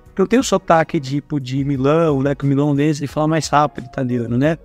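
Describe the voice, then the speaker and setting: A Brazilian man telling stories as a guest at a career fair, chatty and animated, who talks with his hands and keeps checking in with tag questions. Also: on the high side, quick pace, faint music behind. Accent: Brazilian Portuguese. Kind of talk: casual storytelling interview.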